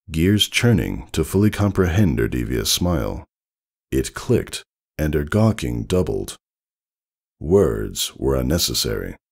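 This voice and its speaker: A man reading scripted story narration with dramatic, measured phrasing. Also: a bass voice, gravelly, darkish tone. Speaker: fan fiction narrator.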